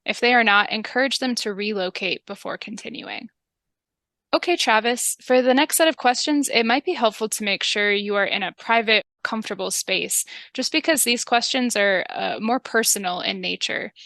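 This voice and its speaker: A woman narrating a training video and playing a calm, reassuring public health interviewer. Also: on the high side, normal speed, creaky, no room sound.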